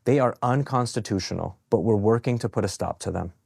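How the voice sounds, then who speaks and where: A man narrating from a script as a legal advocate, speaking in a measured, earnest way. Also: fairly low pitch, vocal fry, darkish tone, breathy edge, dry recording, clean studio sound.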